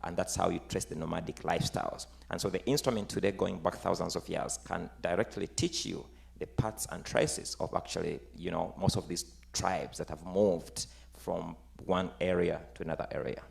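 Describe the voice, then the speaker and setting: Deep, gravelly Ugandan man. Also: normal speed, few pauses. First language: Luganda. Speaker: guest musician. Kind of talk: explanatory talk on music and tradition.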